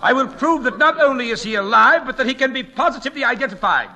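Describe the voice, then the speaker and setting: An actor in an old-time radio drama playing a pompous courtroom barrister, formal and declamatory. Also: male voice, very high voice, animated, fast talker, pushed and bright, heavily acted.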